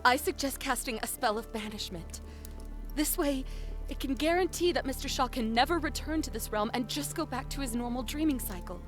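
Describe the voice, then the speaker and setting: A woman playing a gentle young dream maiden in an audio drama, earnest and imploring. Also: high-pitched, fairly quick, music in the background, natural voice. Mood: earnest appeal.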